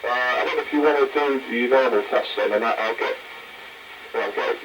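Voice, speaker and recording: A man's voice: medium pitch, brisk, a bit bright, recorded from a distance. A man chatting casually as a radio operator, heard over a CB radio transmission. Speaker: radio operator helping with test. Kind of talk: casual two-way radio chat. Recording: muffled noisy CB radio link.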